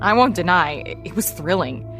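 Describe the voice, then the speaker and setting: Low-voiced wry woman journalist. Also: expressive, normal speed, gravelly, music in the background. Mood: reflective. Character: wry female radio journalist looking back, nostalgic. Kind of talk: scripted narration in podcast audio drama.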